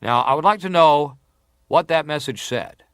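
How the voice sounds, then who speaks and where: An actor playing an American senator who questions a witness formally, measured and insistent, in a dramatized hearing. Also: male voice, fairly high pitch, animated, a bit bright, smooth, no echo.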